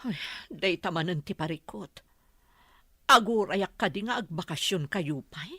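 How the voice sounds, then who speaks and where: A woman's voice: lowish voice, lively intonation, a little slow, pushed and bright, breathy edge, no echo, studio quality, full character voice. A woman playing an anxious older mother in an Ilocano radio drama, speaking plaintively with sighs and earnest longing. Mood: worried, wistful.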